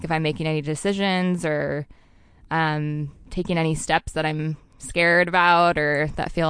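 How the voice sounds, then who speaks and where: Low, crystal-clear rambling woman. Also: no echo, studio quality. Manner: rambling, many likes and ums. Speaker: astrologer guest. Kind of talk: casual interview chat.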